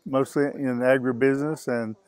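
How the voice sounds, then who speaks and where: A man's voice: mid-range voice, fairly even intonation, moderate pace, a little mellow. A man on a farm group tour talking casually and a bit ramblingly about what he saw. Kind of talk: casual interview.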